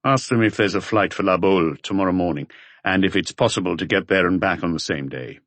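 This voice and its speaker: A man voicing a patient, serious middle-aged police detective in an audiobook, speaking in measured, terse phrases. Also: deep voice, fairly bright tone, no echo. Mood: businesslike.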